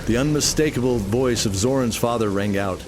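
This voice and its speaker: A man's voice: mid-range voice, normal speed, background noise. A man narrating a scripted fantasy radio play in an earnest, dramatic storytelling style.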